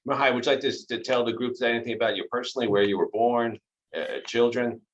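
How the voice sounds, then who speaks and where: A man's voice: mid-range voice, fairly even intonation, fast talker. A middle-aged man hosting a video call from a small office, introducing staff with upbeat thanks and frequent repeated words. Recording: dull video call audio.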